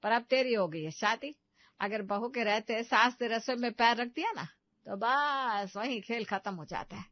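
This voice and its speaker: A woman's voice: on the high side, moderate pace, dry recording, lo-fi sound, lightly acted. A woman playing a proud, stubborn middle-aged mother-in-law who complains emphatically in a comic family drama. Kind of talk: Hindi comedy story dramatization.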